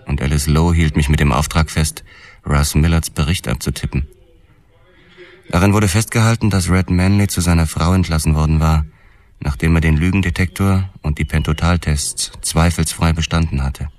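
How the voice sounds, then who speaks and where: An actor playing a world-weary police detective who narrates his own story in a measured, deadpan way for a radio drama. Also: very deep voice. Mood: detached, weary.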